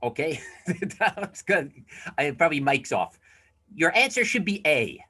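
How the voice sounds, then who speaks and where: Older guy doing a quiz on a zoom call, rambly asides, kinda sharp bright tone. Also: a little high, big pitch swings, a little slow, slightly rough. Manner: chatty, self-deprecating, asides and fillers. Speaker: alumni event quiz presenter. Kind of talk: casual online presentation. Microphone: video call.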